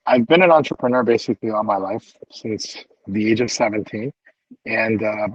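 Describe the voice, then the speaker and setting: A man's voice: fairly low pitch, slightly rough, no echo, muffled recording. A man born in Cuba and raised in South Florida, a realtor answering interview questions in an easygoing way, often restarting his phrases. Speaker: realtor guest.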